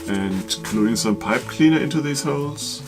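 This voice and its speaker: A man's voice: medium pitch, expressive, a little slow. A man hosting a scripted comedic craft video, asking eager questions with self-deprecating humor, with music playing behind. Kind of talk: scripted comedic tutorial dialogue. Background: background music.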